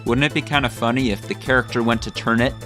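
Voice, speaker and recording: A man's voice: medium pitch, steady tone, fast, pushed and bright. Man narrating comedic mock-grand commentary over music. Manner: mock-grandiose, tongue-in-cheek. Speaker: video essay host. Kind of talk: comedic scripted video commentary.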